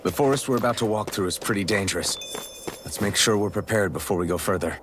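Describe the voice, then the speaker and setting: A man voicing an earnest, steady young swordsman hero in a video game. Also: fairly low pitch, fast talker, background noise, lightly acted. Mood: earnest, resolute.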